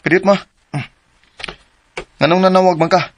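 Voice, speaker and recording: An actor playing a smooth-talking, offhand young lover in a radio drama, with a Cebuano accent. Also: male voice, high voice, steady tone, normal speed, background noise, natural voice. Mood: sleepy, casual.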